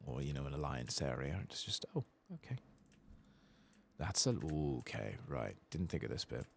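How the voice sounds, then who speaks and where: A soft-spoken man giving rambling ASMR commentary as he plays a game, sometimes dropping into a whisper. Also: a bass voice, very animated, normal speed, gravelly, slight background noise. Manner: rambling, musing to himself; often drops into whispers. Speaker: host of a gaming playthrough channel.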